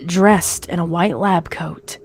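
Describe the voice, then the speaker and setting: A woman's voice: fairly low pitch, steady tone, takes it slowly, soft background music. A woman giving a dramatic, scripted narration of a horror story.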